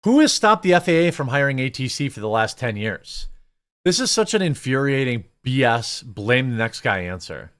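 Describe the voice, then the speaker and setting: A man with a mid-pitched voice gives off-the-cuff commentary on the news. He is blunt and opinionated, leans on rhetorical questions and mixes read-out copy with his own reactions. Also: big pitch swings, normal speed, fairly bright tone, smooth. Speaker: host of a news commentary show. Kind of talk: off-the-cuff opinion commentary on news, partly reading articles aloud.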